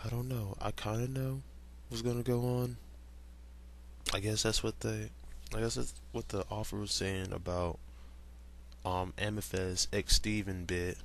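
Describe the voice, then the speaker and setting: A man's voice: fairly low pitch, flat delivery, measured pace, vocal fry, pauses often, fairly bright tone, slight background noise. A man reading fan fiction aloud as an amateur narrator, stumbling over words and adding casual asides.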